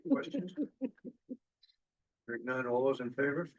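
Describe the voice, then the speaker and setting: Man chairing a meeting, brisk and procedural. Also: mid-range voice, expressive, highs cut off. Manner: brisk, procedural, formulaic motions. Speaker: board chair.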